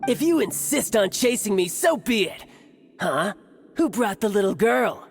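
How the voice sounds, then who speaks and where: A woman's voice: medium pitch, big pitch swings, a little slow, vocal fry, slightly rough, exaggerated voice. A woman playing a smug, taunting thief villain in a video game, mocking and condescending. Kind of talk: English video game voice acting. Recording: long hall-like reverb on voice.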